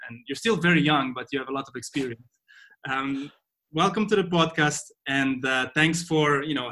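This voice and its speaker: A man hosting a podcast in a conversational interview style, speaking haltingly and often repeating phrases as he goes. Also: mid-range voice, sharp tone. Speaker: podcast host.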